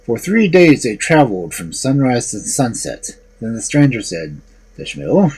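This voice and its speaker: A male storyteller with a very husky voice at an ordinary male pitch. He narrates fairy tales expressively, with very wide swings of intonation. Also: sing-song, normal speed, a little mellow, rough recording. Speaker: storyteller host.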